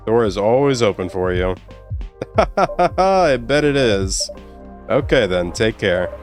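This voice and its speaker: A young man with a deeper voice chatting casually with viewers as he ends a live stream, sounding tired and strained, relaxed and rambling. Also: slow, soft background music. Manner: relaxed, rambling, self-deprecating.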